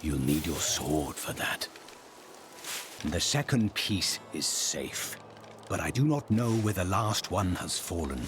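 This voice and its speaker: A man's voice: low voice, lively intonation, takes it slowly, a bit bright, a little hiss or hum, full character voice. An actor playing a stoic, blunt male warrior in a fantasy video game, speaking solemnly and formally. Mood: stern, curious.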